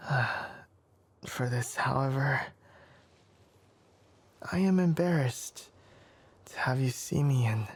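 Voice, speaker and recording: A man playing a flustered, formal young fox-eared forest scholar in an intimate ASMR anime roleplay, hesitant and stammering, often slipping into a breathy whisper. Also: mid-range voice, full character voice. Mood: embarrassed, strained.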